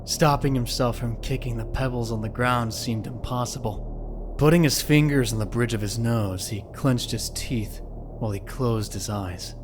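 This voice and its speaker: A man's voice: medium pitch, normal speed, over music. A man giving scripted, dramatic story narration for an audio drama.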